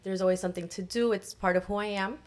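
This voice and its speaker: A woman's voice: mid-range voice, steady tone, quick pace. A young adult woman, from Miami, a guest on a chat show, enthusiastic and giggly in a sing-song manner. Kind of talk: casual chat.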